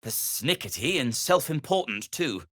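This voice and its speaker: An actor playing an earnest, fussy, melancholy gnome inventor in a video game, with a hesitant, wordy and old-fashioned polite way of talking. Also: male voice, mid-range voice, big pitch swings, moderate pace, fairly bright tone, dry recording, clean studio sound. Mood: pleading, troubled. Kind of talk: video game character dialogue.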